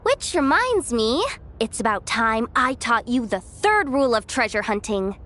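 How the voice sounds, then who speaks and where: A woman's voice: a little high, big pitch swings, unhurried, fairly bright tone, a little hiss or hum. A woman voicing a sly, lazy, easygoing young female treasure hunter in a video game, with a casual, glib way of talking. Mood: casual, self-assured. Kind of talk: video game character dialogue.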